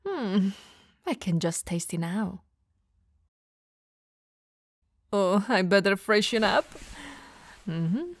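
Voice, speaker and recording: Breathy, unhurried vampire woman, acted by a woman. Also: medium pitch, very animated, no echo, clean studio sound, exaggerated voice. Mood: groggy, gleeful. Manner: theatrical muttering to herself, breathy asides. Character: playful, clumsy female vampire hungry for human blood. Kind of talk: ASMR audio roleplay monologue.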